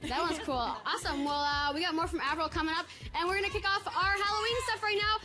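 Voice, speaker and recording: A woman hosting a live TV show, bubbly and high-energy as she throws to the next segment. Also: fairly even intonation, fast talker. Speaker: TV host. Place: busy TV studio. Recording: loud music and crowd in studio.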